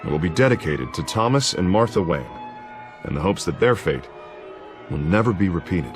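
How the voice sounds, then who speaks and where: An actor playing a charming, self-assured, ambitious American politician in a video game. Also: male voice, low-pitched, expressive, normal speed, over music. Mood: upbeat, confident. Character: smooth, upbeat, ambitious male politician. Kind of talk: video game character acting.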